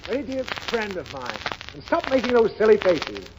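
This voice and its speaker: A man's voice: high voice, big pitch swings, normal speed. A man playing an exasperated, blustering father who scolds impatiently in a 1930s radio comedy. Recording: noisy, dull 1930s radio broadcast recording.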